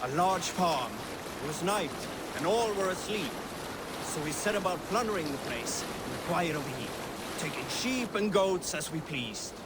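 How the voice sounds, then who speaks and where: An actor playing a boisterous Viking crewman in a video game, telling a raiding tale theatrically over loud water and boat noise. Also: a little high, expressive, moderate pace, lightly acted. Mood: storytelling, grim relish. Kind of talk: theatrical fireside-style storytelling.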